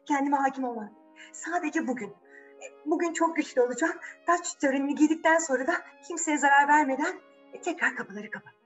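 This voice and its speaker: A woman's voice: sits high, brisk, faint music behind, voice pushed up, close to an everyday voice. A woman voicing an anxious, guarded elder princess, worried and insistent, in a children's fairy tale. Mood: anxious, resolute.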